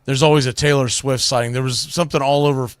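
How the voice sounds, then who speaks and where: A man hosting a sports show, giving casual, opinionated commentary in a conversational, emphatic way with pauses for effect. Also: medium pitch, steady tone, clean tone, no echo. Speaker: sports radio show host.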